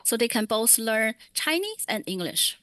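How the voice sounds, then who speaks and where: Woman, fairly high voice, dry close sound, measured interpreter phrasing. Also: big pitch swings, a bit bright, no room sound. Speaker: Mandarin interpreter. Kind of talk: consecutive interpreting at a public meeting.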